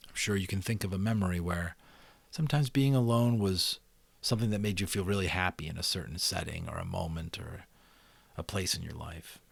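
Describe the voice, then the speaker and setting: Deep-voiced man reading a novel dramatically. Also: brisk, creaky, slightly rough, no room sound. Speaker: audiobook reader and channel host.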